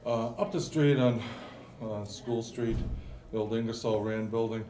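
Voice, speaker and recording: A man's voice: lowish voice, measured pace, dark tone. A man, a local resident, raising a concern at a town board meeting, hesitant and full of fillers. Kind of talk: public comment. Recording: roomy, from far off, chatter behind.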